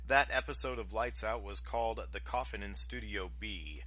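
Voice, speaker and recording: A man's voice: on the low side, normal speed, pushed and bright. A man hosting a radio show, introducing programs in a presenter's style. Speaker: internet radio host.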